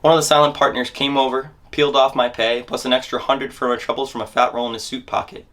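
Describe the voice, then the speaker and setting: A man with a medium-pitched voice performs a scripted memoir monologue. He narrates in the first person like a storyteller, leaning on phrases with theatrical emphasis. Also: little pitch movement, quick pace, fairly bright tone. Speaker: dramatic reader of a memoir.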